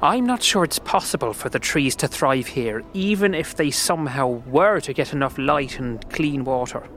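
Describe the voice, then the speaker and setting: A man playing a gentle, sorrowful, earnest old man in a fantasy audio drama, wistful in manner. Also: medium pitch, expressive, moderate pace, slight background noise. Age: older. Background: music.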